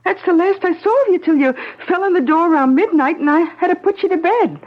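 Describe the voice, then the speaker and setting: An actress playing a gangster's anxious, affectionate girlfriend in a 1970s radio drama, slangy and expressive, on a dull broadcast recording. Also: extremely high pitch, brisk. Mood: puzzled, teasing.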